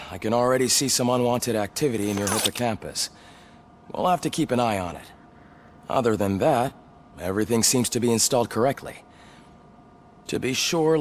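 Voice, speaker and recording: Game tech male actor: matter-of-fact clinician, mid-pitched voice, measured and detached. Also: a little hiss or hum, close to an everyday voice. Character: clinical, matter-of-fact technician doctor fitting a chip. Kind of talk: video game cutscene acting.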